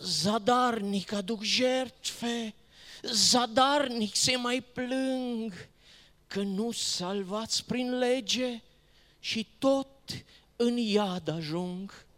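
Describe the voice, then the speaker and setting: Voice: medium pitch, fairly bright tone. A person reciting religious verse in a solemn, declamatory, dramatic manner. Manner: solemn, declamatory, with rhetorical questions and exclamations. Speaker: poetry reciter. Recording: long hall reverb.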